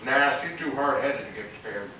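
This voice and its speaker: An actor playing a cocky, crude wisecracker in a stage play, with a mocking, needling manner. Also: male voice, mid-range voice, normal speed, sharp tone, lightly acted. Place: theater stage, far microphone. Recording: echoey, distant, noisy videotaped stage.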